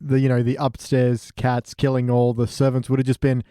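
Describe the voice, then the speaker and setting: A man's voice: mid-range voice, flat delivery, moderate pace, mellow, smooth, no echo, studio quality. A man talking casually as a podcast panellist, giving rambling, sometimes sweary critique. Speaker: podcast panellist reviewing cartoon episodes. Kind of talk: casual chat.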